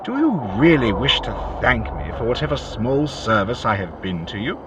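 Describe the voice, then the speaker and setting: A man's voice: medium pitch, very animated, moderate pace, very noisy, natural voice. A man playing a sinister ghostly gambler in an audio drama, eloquent and coaxing, with theatrical, archaic formal phrasing. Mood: coaxing, intense.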